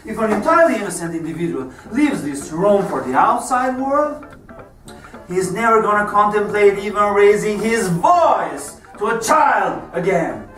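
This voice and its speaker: Guy doing an explosive foul-mouthed cop, pretty high voice, far from the mic, music behind. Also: a bit bright, echoey room. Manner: profane, mocking, explosive. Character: volatile police detective interrogating a prisoner, menacing, self-righteous. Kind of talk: theatrical monologue performance.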